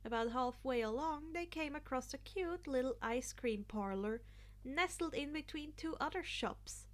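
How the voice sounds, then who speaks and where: A woman's voice: high-pitched, expressive, measured pace. A woman narrating a piece of fan fiction as a scripted story reading.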